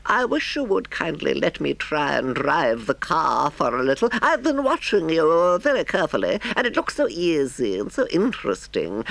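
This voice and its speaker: A man voicing a sly toad who poses as a meek washerwoman, speaking politely with drawn-out, falsely humble phrasing. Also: fairly high pitch, gravelly, a bit bright, voice pushed up, heavily acted, low-quality recording. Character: male toad posing as meek, feeble old-fashioned washerwoman.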